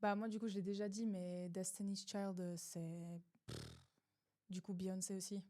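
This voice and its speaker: A woman's voice: medium pitch, big pitch swings, normal speed, mellow. Woman, from lausanne, singer, podcast guest, enthusiastic, giggly. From Lausanne, Switzerland. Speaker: pop soul singer, guest. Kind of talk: casual podcast chat.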